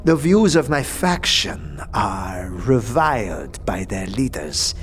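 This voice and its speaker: An actor playing a calm, scholarly zealot of death, speaking formally and deliberately in a fantasy audio drama. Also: male voice, fairly low pitch, soft background music. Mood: earnest, regretful. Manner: measured, formal, deliberate pauses.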